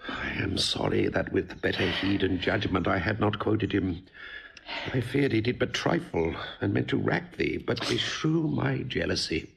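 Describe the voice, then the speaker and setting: A man's voice: fairly low pitch, animated, measured pace, gravelly, fairly bright tone, slightly rough, heavily acted, rough recording. An actor playing a fussy, sententious older father and royal counsellor in a classical Shakespearean audio drama. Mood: regretful, grave.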